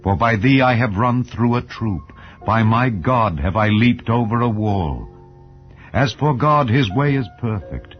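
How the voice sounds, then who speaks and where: A man narrating scripture in a solemn, dramatized style, with measured, declamatory delivery of archaic English. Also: lowish voice, expressive. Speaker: audiobook Bible narrator. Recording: dull, lo-fi audio under music. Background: background music.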